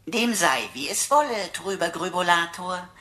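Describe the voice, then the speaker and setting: A woman's voice: fairly low pitch, moderate pace, a bit bright, close to an everyday voice. A woman playing a chatty, sardonic computer sidekick in a radio play, talking in florid mock-archaic phrases peppered with foreign words. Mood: pompous, teasing.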